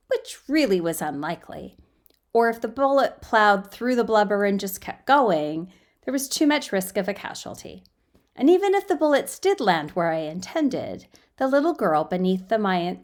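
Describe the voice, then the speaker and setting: Casual female amateur storyteller, medium pitch, informal and unpolished, recorded on a built-in laptop mic at home. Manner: informal, self-deprecating, fidgety. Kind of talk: casual chatty intro and amateur storybook reading. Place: quiet guest room at home. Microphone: built-in laptop microphone.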